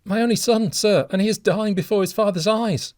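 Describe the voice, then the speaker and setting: A man's voice: sits high, a little fast, darkish tone, no echo, clean studio sound, voice pushed up, natural voice. A man voicing a desperate old father who shrieks and begs for his drowning son. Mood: frantic pleading. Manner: shrieking, pleading, breathless.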